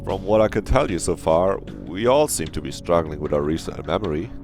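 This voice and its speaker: A man's voice: deep voice, a little fast, music in the background. A man streaming a visual novel, reading it aloud expressively and breaking off for casual asides. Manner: dramatic, expressive reading, swears freely.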